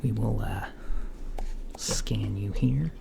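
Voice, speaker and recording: A man playing a mild, puzzled alien doctor in a soft, breathy, often whispered ASMR roleplay, with hesitant, gently deadpan murmuring. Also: fairly low pitch, takes it slowly, heavily acted. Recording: lo-fi home recording, faint background noise.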